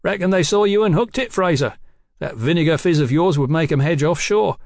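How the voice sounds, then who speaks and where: A sly private detective from a storybook acted out by a male narrator. He needles his betters in cheeky street slang, pitched high and full of insolence. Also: lively intonation, a little fast, vocal fry, darkish tone, no room sound, clean studio sound.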